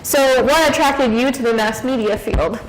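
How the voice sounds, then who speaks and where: A woman's voice: high voice, moderate pace, roomy sound, slight background noise, rough recording. A woman hosting a news interview, asking questions in an upbeat way.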